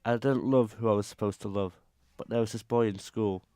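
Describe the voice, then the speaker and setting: A guarded, earnest young circus performer, acted by a man in a radio drama. The voice sits a little low and is everyday in tone. The recording is very dry. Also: steady tone, brisk, warm tone, dry recording, lightly acted. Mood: confiding, sad. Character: guarded young male circus singer with a painful secret. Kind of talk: amateur radio drama acting.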